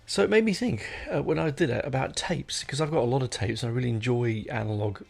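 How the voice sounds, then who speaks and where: A middle-aged man chats solo as a podcast host, with an average-pitched voice full of gravelly creak. He talks off the cuff, drifting into asides and rewording himself as he goes. Music plays behind him. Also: fast talker, darkish tone. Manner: rambling, digressive, self-correcting. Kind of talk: casual unscripted solo podcast chat.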